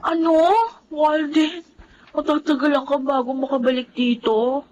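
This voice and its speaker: A woman's voice: high voice, fairly even intonation, measured pace, dull audio, over music. An actress playing a headstrong young woman in a radio drama, talking in a dismissive, defiant way.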